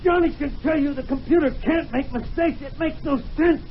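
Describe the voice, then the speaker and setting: Shrill, frantic cornered villain. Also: male voice, normal speed. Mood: panicked disbelief. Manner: panicky, shrill, insistent. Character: frantic cornered male villain, obsessed with his computer. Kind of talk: old radio drama acting. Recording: muffled old radio, faint hiss.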